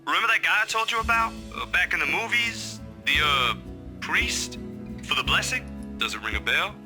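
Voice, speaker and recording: A man's voice: high-pitched, expressive, moderate pace. A man playing a kind, easygoing young friend in a visual novel, casual and slightly awkward. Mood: friendly, casual. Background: music.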